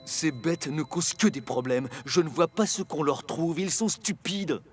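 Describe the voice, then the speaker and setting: A man voicing a grumpy, quick-tempered miniature locomotive with an indignant, huffy manner in a cartoon dub. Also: a little high, lightly acted. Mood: grumbling. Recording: music behind the voice.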